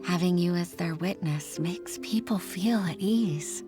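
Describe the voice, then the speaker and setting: A woman plays a humble middle-aged secretary and mother, talking modestly and a little hesitantly in a lowish voice. Faint game music sits underneath. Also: a little slow, close to an everyday voice. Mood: heartfelt. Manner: modest, hesitant, with soft laughs. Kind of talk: game voice acting. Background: game soundtrack music.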